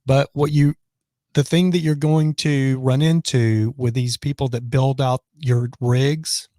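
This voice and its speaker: A man talks off the cuff on his live stream, his voice a little raspy from choking earlier. He rambles, circles back and answers viewers as he goes, at a middling pitch. The sound is close and dry, with no room around it. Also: fairly even intonation, unhurried, mellow, no echo. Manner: rambling and chatty, many restarts. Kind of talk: casual live stream chat reading comments. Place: his office.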